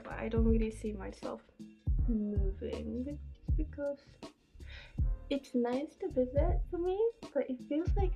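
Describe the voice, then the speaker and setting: A young woman chats to camera at home in a relaxed vlog, her voice hoarse from the morning and slightly high. She slips now and then into soft, breathy whispers. Music plays clearly underneath. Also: poor audio. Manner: sleepy, drifts into soft whispers. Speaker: vlogger. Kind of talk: casual vlog chat to camera. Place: small home apartment indoors. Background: background music.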